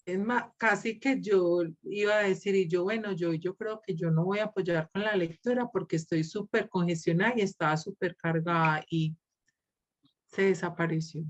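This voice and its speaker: A woman's voice: fairly low pitch, fairly even intonation, normal speed, dull audio. A woman in a reading group casually sharing an anecdote, chatty and laughing easily. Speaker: reading club participant.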